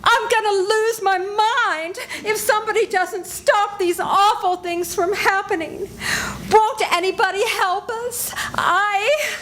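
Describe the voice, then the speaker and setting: An older woman playing a frightened, suspicious young woman in a radio play reading, anxious and halting. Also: very high voice, steady tone, a bit bright, full character voice. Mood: panicked. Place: senior center event room, live reading. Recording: noisy live room.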